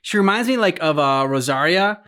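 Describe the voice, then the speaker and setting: A man hosting a reaction show, commenting casually in a rambling, halting way as he searches for words. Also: high-pitched, animated, sharp tone, smooth. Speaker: host of a game reaction show. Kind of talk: casual chat, commentary on game cutscenes.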